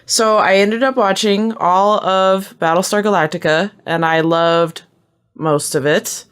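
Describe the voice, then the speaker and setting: A woman's voice: medium pitch, steady tone, measured pace, clear, resonant tone. A woman chatting casually as a podcast panelist, candid and a little self-deprecating. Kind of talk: casual chat.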